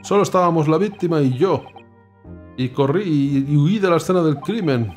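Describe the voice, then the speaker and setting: A man's voice: fairly high pitch, normal speed, a little mellow, faint music behind, lightly acted. A man reading the lines of an earnest young male student abroad who feels wronged, in an indignant tone.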